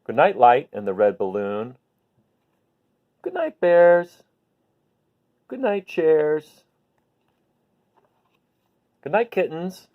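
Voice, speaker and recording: A man hosting a children's bedtime read-aloud, talking gently and simply to viewers in an unhurried way. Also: on the high side, very animated. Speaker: host reading picture books to children. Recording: phone-like narrow-band sound.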